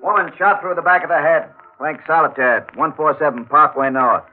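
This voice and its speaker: An actor playing a gruff newspaper editor barking clipped orders in 1940s radio drama. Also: male voice, a little high, normal speed, natural voice. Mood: brusque, urgent. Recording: tinny old radio broadcast, music behind.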